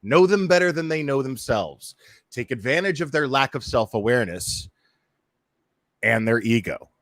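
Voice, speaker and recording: A man's voice: mid-range voice, expressive, moderate pace, a bit bright, no room sound. Man in dry, casual, informal commentary. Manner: profane, informal, emphatic repetition. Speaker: prank caller commenting on his own recorded troll.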